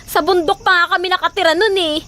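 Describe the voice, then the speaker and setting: A woman's voice: very high voice, fairly even intonation, fast, sharp tone. A woman voice-acting a demanding, vain, pregnant young girlfriend who whines and pouts in a Filipino audio drama. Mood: insistent, boastful. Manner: whiny, pouting, insistent.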